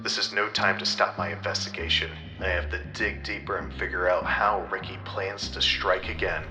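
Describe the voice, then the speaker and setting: A man playing a deadpan, self-assured but clueless private detective in a comic audio drama, narrating in hard-boiled parody style. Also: low-pitched, moderate pace, distant mic, lightly acted. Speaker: narrator and lead detective character. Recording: echoey room. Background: music.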